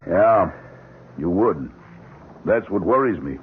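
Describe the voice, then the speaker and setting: A man playing a concerned but blunt, suspicious family doctor, measured and insistent, in an old-time radio mystery. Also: deep voice, animated, a little hiss or hum. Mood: firm, probing. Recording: muffled narrowband radio drama.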